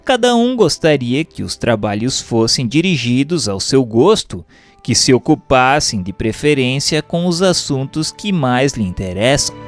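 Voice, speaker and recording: A Brazilian man narrates in a formal audiobook style. He takes his time with each phrase, and his intonation rises and falls widely. Soft music plays behind his voice. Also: mid-range voice. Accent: Brazilian Portuguese. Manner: formal, measured, expressive phrasing. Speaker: reader of a Spiritist text. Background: background music.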